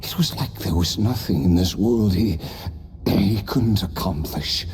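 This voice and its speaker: A man's voice: low voice, a little slow, big-room sound, slight background noise. A man voicing an immortal, driven warrior in a game, reflective and wry. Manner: reflective, wry, quiet laughs. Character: immortal, driven male warrior recalling his past. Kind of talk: game voice acting.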